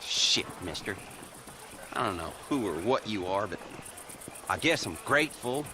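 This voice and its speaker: A gruff, drunken saloon patron in a Western game. His medium-pitched voice swings widely and coarsely, against clearly noisy surroundings. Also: expressive, a little slow. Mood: sheepish, grateful. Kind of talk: video game cutscene acting.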